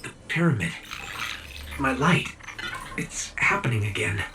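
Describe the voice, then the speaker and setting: A man's voice: mid-range voice, sing-song, measured pace, recorded from a distance, background noise. A man voicing a small robot companion in a video game, earnest and uneasy. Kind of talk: dramatic video game acting.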